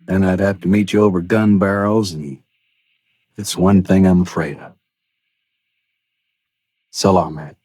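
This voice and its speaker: An actor playing a cool, deadly frontier gunfighter in a 1950s radio western, with a wry and menacing manner. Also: male voice, deep voice, steady tone, a little slow, long pauses, a little mellow, husky, dry recording. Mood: wry farewell.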